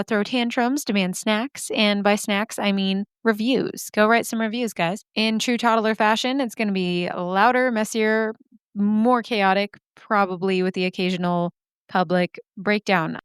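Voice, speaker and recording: A hoarse woman in her thirties chats into a close mic, her scratchy voice thick with vocal fry. She rambles happily through self-deprecating asides, the tone smooth underneath the rasp and the sound dry and close. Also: medium pitch, no echo. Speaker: podcast host. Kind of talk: chatty podcast intro.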